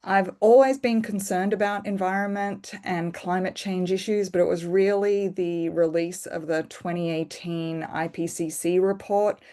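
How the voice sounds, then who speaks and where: Australian woman, middle-aged, lowish voice, earnest measured presenter on a video call. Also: fairly even intonation, mellow. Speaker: guest presenter. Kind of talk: online presentation.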